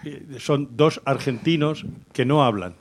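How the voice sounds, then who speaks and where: A man's voice: mid-range voice, unhurried. A man from Spain co-hosting a casual chat, teasing the others with playful banter.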